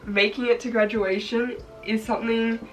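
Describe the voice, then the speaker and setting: Chatty teenage girl with a hoarse voice. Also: fairly high pitch, flat delivery, normal speed, far from the mic, faint music behind, poor audio. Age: teens. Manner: chatty, upbeat, many "like" fillers. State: hoarse, congested voice. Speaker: vlogger. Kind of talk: casual chat while crafting. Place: bedroom, sitting on her bed.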